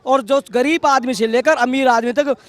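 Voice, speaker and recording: A man giving his opinion in a street interview, speaking formally and deferentially in a halting way. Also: very high-pitched, fairly even intonation, no room sound. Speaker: member of the public being interviewed.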